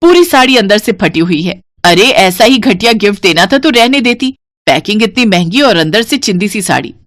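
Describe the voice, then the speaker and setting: A woman voicing a bossy older mother-in-law who commands and scolds her daughters-in-law, in a lower voice than her narration. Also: very animated, normal speed, no echo, clean studio sound. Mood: angry scolding.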